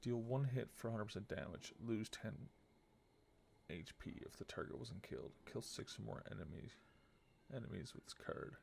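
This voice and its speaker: A man's voice: animated, moderate pace, gravelly, a little mellow, a little hiss or hum. A man in his thirties streaming a game, muttering casually as he thinks aloud and reads out text. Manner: low-key muttering, reading card text aloud. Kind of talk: casual let's play commentary, thinking aloud.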